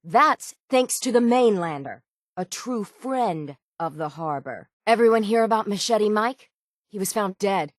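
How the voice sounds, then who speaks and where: A woman voicing a gruff, stubborn, hard-bitten shipwright in a video game, with salty, plainspoken seafaring talk. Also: mid-range voice, expressive, unhurried, dry recording, clean studio sound, lightly acted. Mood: proud, then grave. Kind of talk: video game character dialogue.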